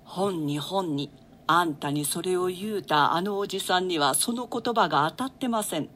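Elderly royal grandmother played by a woman: forceful, bright tone, archaic theatrical diction, dignified and world-weary. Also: on the low side, moderate pace. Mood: doting, curious. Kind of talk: classical stage play reading.

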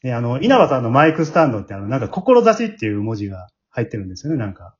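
A man's voice: mid-range voice, big pitch swings, fast talker. A man with a Kansai accent hosting a casual round-table after-talk, often chiming in with small acknowledgements. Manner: frequent backchannels and chuckles. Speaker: host. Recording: dull, lo-fi sound.

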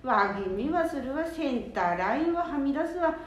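A woman playing a hasty, excitable old grandma who scolds in a Nanbu dialect of northern Japan in an amateur radio drama. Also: sits high, moderate pace, dull audio. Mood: scolding. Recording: echoey room.

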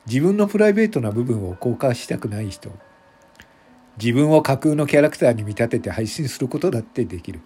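A man reading scripted narration for an audio drama in a measured, deliberate manner, phrase by phrase. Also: mid-range voice, sing-song, mellow, husky, soft background music.

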